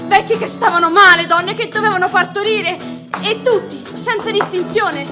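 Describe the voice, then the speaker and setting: A woman's voice: very high voice, normal speed. An actress playing a troubled woman deportee who bears witness earnestly and solemnly in a radio drama. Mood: distressed. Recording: echoey, distant, under loud music.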